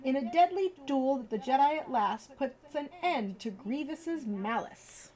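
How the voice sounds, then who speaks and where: A woman's voice: high-pitched, expressive, a little slow, warm tone. A woman hosting a livestream, switching between informal chat with viewers and reading children's bedtime stories aloud. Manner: informal, chatty, addressing viewers directly. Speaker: livestream host.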